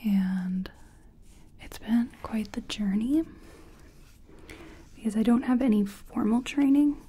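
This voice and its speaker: A woman playing a gentle, chatty makeup competition contestant in a soft-spoken, whispery ASMR personal attention role-play. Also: a little high, full character voice. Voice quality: breathy, whispery. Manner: gentle, unhurried, chatty, reassuring. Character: friendly, self-taught makeup competition contestant.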